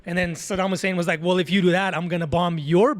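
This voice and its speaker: High-voiced man telling stories, animated. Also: quick pace. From Azerbaijan. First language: Hebrew. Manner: animated retelling with quoted voices, many fillers. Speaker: podcast guest, tattoo artist. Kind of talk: casual interview storytelling.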